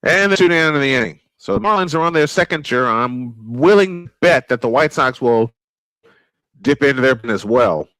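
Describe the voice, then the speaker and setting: Guy doing baseball play by play, takes his time between pitches, dry sound. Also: lively intonation, moderate pace, a bit bright, no echo, low-quality recording. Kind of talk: live sports commentary.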